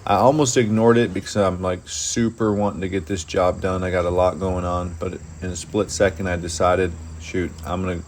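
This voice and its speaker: Deep-voiced man narrating his own story. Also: level tone, moderate pace, hardly any pauses, slight background noise. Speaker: diesel mechanic telling his own story. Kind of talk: first-person storytelling narration.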